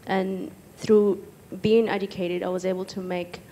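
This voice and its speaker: A young woman from Egypt speaking hesitantly as a panellist on stage, pausing often, into a handheld microphone. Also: medium pitch, little pitch movement, warm tone, a little hiss or hum, low-quality recording. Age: young adult. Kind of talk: personal account in a panel discussion. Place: stage in an auditorium with audience.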